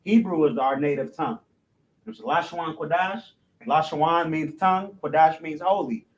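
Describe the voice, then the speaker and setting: Male instructor, slightly higher voice, halting informal classroom delivery. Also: poor audio. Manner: loose and repetitive, stumbles and restarts, keeps asking "you know what I'm saying"; explains slowly, step by step. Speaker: religious language instructor.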